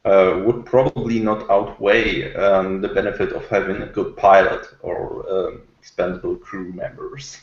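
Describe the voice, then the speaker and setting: Male panellist, unhurried and hesitant, casual debate tone, roomy lo-fi recording with noticeable echo. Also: low-pitched, little pitch movement. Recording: echoey, dull lo-fi room audio.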